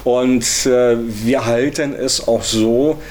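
A man working in a hotel gives unscripted interview answers in a hesitant, halting way. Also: medium pitch, fairly even intonation, darkish tone, slight background noise.

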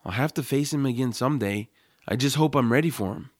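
A man playing an eager, earnest young hero in a family audio drama, excitable and wide-eyed. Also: medium pitch, fast talker, dry recording, clean studio sound, natural voice. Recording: music under the voice.

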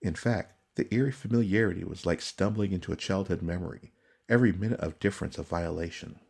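A man reading dramatic fantasy narration as an audiobook narrator, solemn, measured and suspenseful. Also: deep voice, vocal fry, a little mellow, husky.

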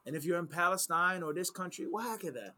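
A man teaching religious principles in a sit-down conversation, his voice pitched a little high and pushed with a tense, forceful edge. He leans on key phrases, repeating them for weight, and weaves in Arabic quotations as he explains. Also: lively intonation, fast talker. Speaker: Islamic teacher answering questions.

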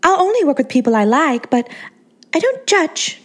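A woman voicing a self-absorbed female celebrity who coos in a high, childlike sing-song. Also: very animated, moderate pace. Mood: cooing.

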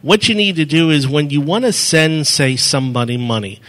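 A man's voice: on the high side, animated, moderate pace, fairly bright tone. Guy on a handheld mic walking a room through an app, rambly, keeps restarting sentences. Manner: rambling, self-correcting, step-by-step explaining. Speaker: presenter answering audience questions. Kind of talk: live demo talk at a meeting. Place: meeting room with audience.

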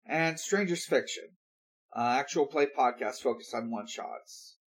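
Man, podcast host, unhurried pace, mid-pitched voice, halting casual delivery. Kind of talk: casual podcast talk, plugging shows.

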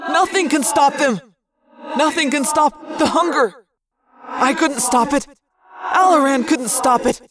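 A woman's voice: high voice, very fast, few pauses, a crowd in the background, voice pushed up, lightly acted. A woman voicing a male giant alien worm in a science fiction story, crazed with hunger and frantic with anguish. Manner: anguished, pleading, frantic outbursts.

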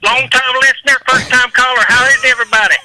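A man's voice: extremely high pitch, steady tone, fast talker, sharp tone, slight background noise. A man calling in to a talk show, chatting casually in a folksy way over a tinny phone line. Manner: folksy, says "man" often. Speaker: phone-in caller and longtime listener. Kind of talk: casual call-in chat.

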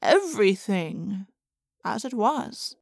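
A woman voicing an aloof, all-powerful alien in an audiobook, making calm, formal, measured pronouncements. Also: mid-range voice, creaky, no room sound, studio quality, exaggerated voice. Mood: detached.

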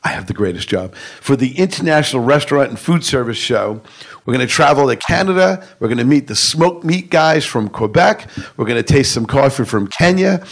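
A man hosting a radio show, chatting upbeat with quick quips while interviewing exhibitors at a trade show. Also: medium pitch, lively intonation. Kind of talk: casual interview. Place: busy trade show floor. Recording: noisy convention hall.

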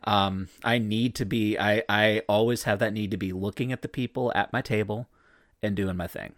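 A man's voice: fairly low pitch, fairly even intonation, normal speed, no room sound, clean studio sound. A man chatting casually as a player about a tabletop game, joking about himself and giving firm opinions. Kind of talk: casual podcast chat. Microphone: video call.

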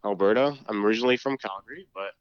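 A man's voice: fairly low pitch, racing, clear, resonant tone, no echo, muffled recording. A man speaking as a podcast guest in casual chat, hesitant, with many fillers and restarts. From Canada. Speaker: podcast guest, student council member.

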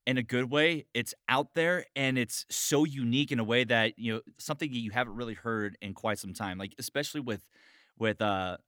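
A man's voice: mid-range voice, fairly quick, pushed and bright, clear, resonant tone, dry recording, studio quality. A man hosting a podcast conversation in a casual, informal style. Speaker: host.

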